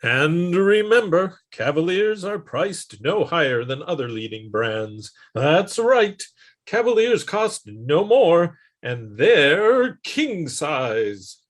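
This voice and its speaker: 1950s ad spot: a man as a measured, emphatic cigarette-ad announcer. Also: very animated, full character voice. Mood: salesy. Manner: slow, emphatic sales pitch.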